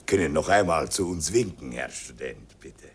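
An actor playing a courteous, practical Hungarian stationmaster with a Hungarian accent, husky voice and broken German in a radio play. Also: male voice, extremely low pitch, animated, normal speed, full character voice. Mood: helpful, courteous. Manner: broken German, emphatic swooping intonation.

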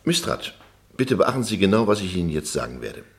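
An actor playing an authoritative, orderly English judge in a German radio play, speaking formally and instructively. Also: male voice, deep voice, very animated, fairly quick, vocal fry, exaggerated voice. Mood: solemn, instructing.

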